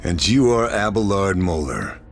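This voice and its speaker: An actor playing a determined sniper hero, narrating a mission with dry, clipped lines over cutscene music. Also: male voice, low-pitched, very animated, a little slow, creaky, full character voice. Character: hardened sniper hero, middle-aged man. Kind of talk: game narration.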